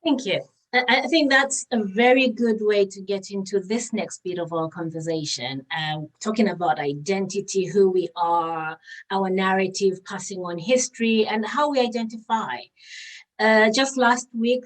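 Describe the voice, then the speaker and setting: A woman hosting a conversational podcast interview, with measured, somewhat hesitant phrasing. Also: medium pitch. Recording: distant from the mic.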